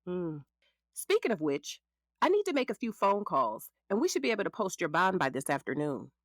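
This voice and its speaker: Sassy, expressive woman lawyer. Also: fairly low pitch, brisk, no room sound, studio quality. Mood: measured, matter-of-fact. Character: frank, sassy female lawyer, protective ex-wife of her client. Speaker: actor in an audio drama. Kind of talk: naturalistic scripted drama dialogue.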